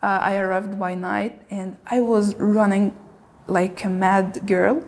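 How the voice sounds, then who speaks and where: A young Egyptian-accented woman of twenty speaks earnestly in an interview. She pauses on fillers as she finds her words. Her voice is mellow and dark, with a gravelly edge. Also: mid-range voice, level tone. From Egypt, Cairo. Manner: earnest, with frequent hesitations. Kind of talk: documentary interview.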